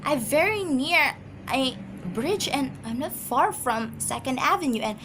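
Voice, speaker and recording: A woman plays a fretful, imperious bedridden wife in an amateur radio drama. Her high voice swoops with agitated, insistent drama over clearly audible background noise. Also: animated, unhurried. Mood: anxious insistence. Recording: noisy amateur home recording.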